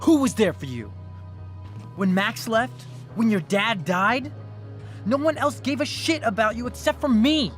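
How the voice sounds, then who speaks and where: An obsessive, self-righteous teenage boy in a dramatic game scene. His insistent, intense voice swings widely in pitch. There is music behind him. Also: sing-song, a little slow. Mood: agitated, desperate. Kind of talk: dramatic video game voice acting.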